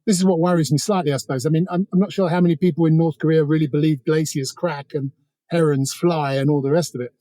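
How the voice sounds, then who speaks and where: A man's voice: fairly high pitch, steady tone, quick pace, warm tone, no room sound. A man speaking as an interview guest, giving informal, discursive analysis with a wry edge. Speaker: interview guest, author on North Korea.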